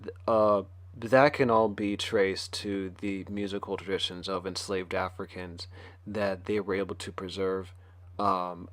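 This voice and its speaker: A man speaking in an informal lecture style, halting and often pausing mid-phrase. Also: deep voice, a bit bright, dry recording. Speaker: conference speaker.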